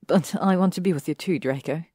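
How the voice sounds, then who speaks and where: A fierce, clever young witch in a spoken fan story, played by a woman reading it. She speaks earnestly and leans hard on her words. The voice is low-pitched and dry, with no echo around it. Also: expressive, moderate pace.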